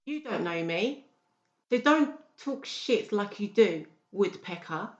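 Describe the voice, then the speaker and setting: A woman's voice: medium pitch, expressive, takes it slowly. A woman playing an aggressive, foul-mouthed, antagonistic patient in a dramatised audiobook, taunting and confrontational. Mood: angry, hostile. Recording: dull, roomy recording.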